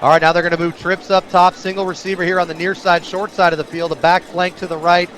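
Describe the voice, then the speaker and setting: A man calling live football play-by-play on the radio, excitable and breaking into shouts on big plays. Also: high voice, quick pace, slight background noise. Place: outdoor football stadium broadcast.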